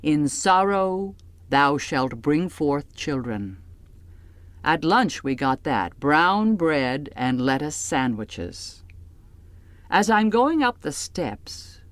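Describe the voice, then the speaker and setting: Low-voiced, unhurried woman narrating an audiobook. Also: dry recording. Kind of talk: literary first-person scripted narration.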